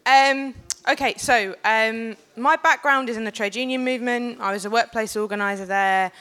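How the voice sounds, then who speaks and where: A woman with a cold giving an earnest, personal talk on a panel in a packed room, building steadily to forceful points. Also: fairly high pitch, normal speed, clear, resonant tone. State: has a cold. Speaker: panel speaker and organiser.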